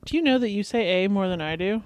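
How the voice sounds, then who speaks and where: Deadpan sarcastic woman co-host. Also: mid-range voice, fast talker, no room sound, lo-fi sound. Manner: dry, sarcastic, deadpan retorts. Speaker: podcast co-host. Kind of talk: casual chat.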